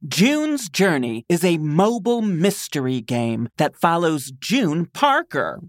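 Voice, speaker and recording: Female ad reader: highly animated, slow and deliberate, enticing, dry close sound. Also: dry recording. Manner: dramatic, enticing delivery. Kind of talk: scripted ad narration.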